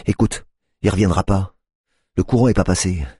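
A man's voice: low-pitched, fairly even intonation, moderate pace, warm tone, husky, dry recording, clean studio sound, voice pushed up. A man voicing an agitated, drug-addicted female sex worker who mocks and swears at a detective. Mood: grudging. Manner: mocking, aggressive, swearing.

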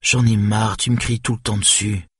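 A man's voice: fairly low pitch, level tone, normal speed, slightly rough, dry recording, clean studio sound, pitched above the performer's own voice. A man voicing a sullen, defiant and tearful little girl in an audiobook story. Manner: muttering or pleading tearfully.

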